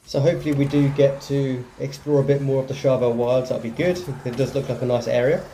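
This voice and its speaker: A man's voice: medium pitch, steady tone, fast talker, dark tone, slight background noise, low-quality recording. A man giving casual commentary as he plays through a game's story quest. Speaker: gaming video creator playing through a story quest. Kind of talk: casual game playthrough commentary. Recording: game music behind the voice.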